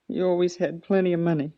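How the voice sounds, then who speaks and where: A woman's voice: on the low side, dark tone, no echo, lightly acted. An actress playing a grieving, guarded middle-aged mother in a weary, mournful 1940s radio drama manner. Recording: dull, dry vintage 1940s radio recording.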